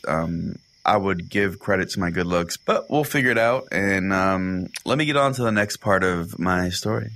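A man playing an irritated, foul-mouthed small-town pastor, telling strange tales deadpan on a comedy podcast. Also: fairly low pitch. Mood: exasperated.

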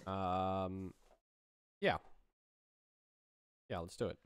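A man's voice: deep voice, fairly even intonation, drawn-out words, very noisy. A man in his thirties giving a casual demonstration as a sound designer, thinking aloud at a close mic. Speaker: sound designer, tutorial host.

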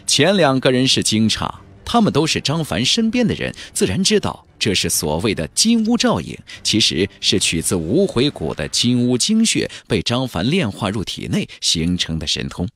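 A man's voice: mid-range voice, wildly swooping pitch, moderate pace, few pauses, fairly bright tone. A man narrating a fantasy novel as a dramatic audiobook, with a theatrical, emphatic delivery.

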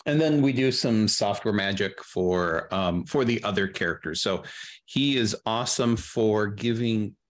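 Low-voiced middle-aged man chatting. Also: measured pace. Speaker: audio drama sound producer, guest. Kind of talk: casual interview chat. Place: indoors, on a video call.

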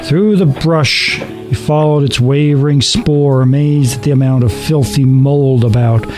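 A man reading a horror story as a dramatic narrator, deliberate and suspenseful. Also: medium pitch, a little mellow. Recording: narration over background music.

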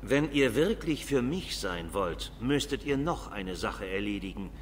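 A man voicing a guarded old dwarf lord in a video game, formal, measured and stern. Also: lowish voice, expressive, a little hiss or hum. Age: older.

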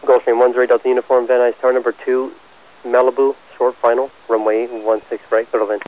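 A man's voice: mid-range voice, level tone, fast, a little mellow. A man working as an air traffic tower controller, speaking in clipped procedural phrases over aviation radio. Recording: muffled, lo-fi airport radio transmission.

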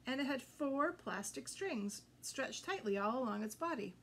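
An older woman reading a children's picture book aloud, expressive and addressing young listeners directly. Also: high-pitched, moderate pace. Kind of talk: children's read-aloud storytelling. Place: indoors before a green backdrop. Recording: noticeable background noise.

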